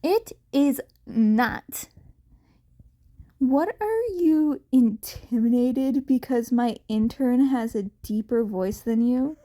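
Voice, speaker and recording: A woman's voice: a little high, animated, slow pace, warm tone. A woman voicing a cocky, blunt young hero woman who teases informally in an audio story. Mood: amused, mocking. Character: brash, teasing pro-hero woman under thirty.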